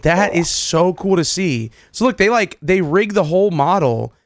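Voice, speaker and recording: A man hosting a reaction video, talking casually to viewers with excited, repeated exclamations. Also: sits high, moderate pace, no echo, studio quality. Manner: casual, repetitive exclamations, addresses viewers familiarly. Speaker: video host reacting to game clips.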